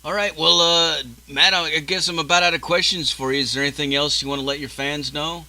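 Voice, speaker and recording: A man's voice: on the high side, fairly even intonation, a little fast, sharp tone. A man in his early forties hosting a casual interview, easygoing and swearing freely as he chats. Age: 40s. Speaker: podcast host.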